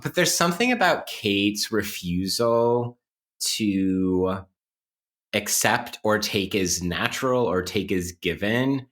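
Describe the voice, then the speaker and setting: A man's voice: fairly low pitch, expressive, takes it slowly, fairly bright tone. A man talking casually and analytically on a podcast, wry and academic, circling around his points as he explains. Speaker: podcast co-host playing interview guest.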